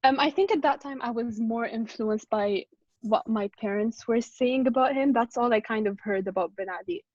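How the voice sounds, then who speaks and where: A woman's voice: on the high side, normal speed, darkish tone, no echo. A woman in her twenties sharing her opinions casually as a participant in a group discussion. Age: 20s. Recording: dry, dull online call audio.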